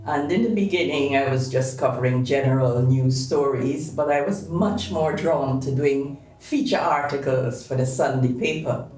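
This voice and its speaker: A man at a middle pitch. He tells his story in a reflective way and sounds set back from the mic in a room with a lot of echo. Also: normal speed, hardly any pauses, a little mellow. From Guyana. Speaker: novelist, former reporter. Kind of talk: documentary interview. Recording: roomy, distant mic.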